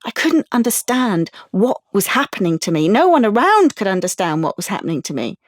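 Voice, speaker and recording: An actress playing an anxious, wounded young woman in an audio drama, confiding haltingly and stumbling over her words. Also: medium pitch, no echo, clean studio sound. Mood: bleak, confiding. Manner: halting, confiding, with stammered restarts. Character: anxious, wounded young woman artist, defensive about privacy. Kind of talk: audio drama monologue and dialogue.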